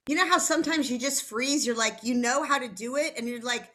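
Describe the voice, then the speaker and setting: A woman's voice: high voice, a little fast, fairly bright tone. A woman hosting a training session on a video call, upbeat and rambling, a little flustered. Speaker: training host. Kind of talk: casual presentation on a video call.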